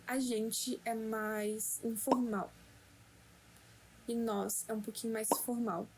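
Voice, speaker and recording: A young Brazilian woman giving a slow, patient, playful lesson at home to a friend. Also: fairly high pitch, flat delivery, darkish tone, a little hiss or hum, rough recording. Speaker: language teacher for a friend. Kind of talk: casual home-made lesson.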